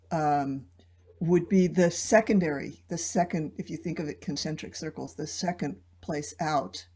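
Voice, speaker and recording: A woman's voice: sits low, mellow, slightly rough. A woman taking part in an online discussion, thinking aloud and searching for her words. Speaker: discussion participant. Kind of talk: reflective discussion. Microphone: video call.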